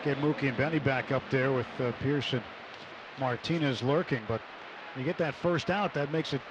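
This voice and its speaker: A man's voice: medium pitch, normal speed, muffled recording, background noise. A man commenting conversationally on a live baseball radio broadcast. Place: stadium broadcast booth.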